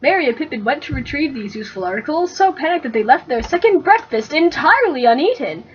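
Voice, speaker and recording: A woman's voice: high voice, sing-song, quick pace, muffled recording. A woman co-hosting a fan fiction reading, moving between story narration and casual, joking chat.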